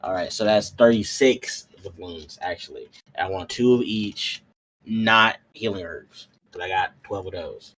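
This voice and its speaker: A man's voice: low voice, measured pace, a bit bright, slight background noise. A man chatting casually as a player in a tabletop roleplay, repeating words as he works things out. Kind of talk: casual game chat.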